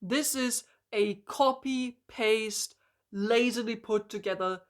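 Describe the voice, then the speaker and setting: A hoarse woman reviewing a book in an exasperated, emphatic rant, full of "like" and restarts. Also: a little high, slow pace.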